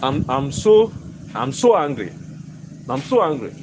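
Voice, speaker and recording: Hoarse man ranting in his shop. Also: mid-range voice, sing-song, a little slow, background noise. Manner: repetitive, emphatic, stammering restarts, bursts of laughter. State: hoarse, lost voice. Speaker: shop owner vlogger. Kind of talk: angry ranting vlog. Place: inside his own shop.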